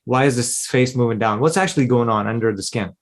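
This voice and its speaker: A male podcast host with an ordinary middle-pitched voice. He leads the interview conversationally. He frames questions plainly and keeps the guest going with short yeahs and mm-hmms. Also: quick pace. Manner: conversational, encouraging backchannels.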